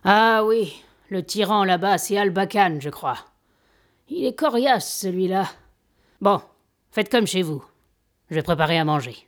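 A woman playing a kindly old master and healer in an audio drama, attentive and gently authoritative. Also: mid-range voice, animated, measured pace, long pauses, natural voice. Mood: grave, concerned.

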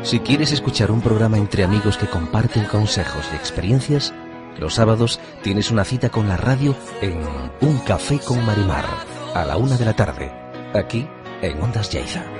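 A man reading a radio station promo in a brisk, upbeat way. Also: on the low side, expressive. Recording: promo over music.

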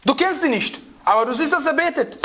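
High-voiced man teaching a religious lesson. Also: animated, brisk, bright, forceful tone, slight background noise. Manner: emphatic, repeats key phrases, asks rhetorical questions; searches for German words and asks students.

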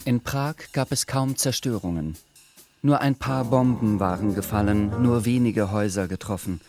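A man's voice: lowish voice, normal speed, music in the background. A man narrating a radio drama as scripted literary prose.

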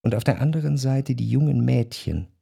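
An actor playing an introspective, yearning gentleman who narrates a literary radio drama in a measured, reflective way. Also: medium pitch, animated, vocal fry, slightly rough, full character voice. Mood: musing.

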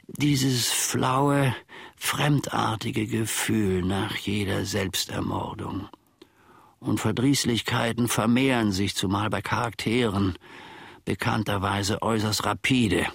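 An actor playing a grumbling, pedantic, world-weary manservant who philosophizes in a rambling, theatrical radio play monologue, with a gravelly, husky voice. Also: male voice, lowish voice, a bit bright, dry recording, clean studio sound, heavily acted. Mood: morose, rambling. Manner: rambling, theatrical, pseudo-learned, halting.

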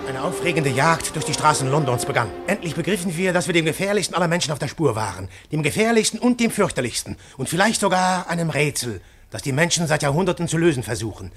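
An earnest, driven pursuer who also narrates a radio drama. His voice is fairly high and sharply bright, with intense, dramatic emphasis. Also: animated, quick pace, few pauses, soft background music. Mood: excited, suspenseful. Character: earnest, urgent pursuer narrating a dangerous manhunt. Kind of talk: German radio play acting and narration.

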